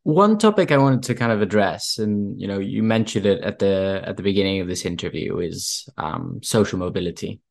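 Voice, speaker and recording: A man's voice: lowish voice, expressive, moderate pace, vocal fry, few pauses. A man interviewing a guest, asking long, hesitant questions full of fillers.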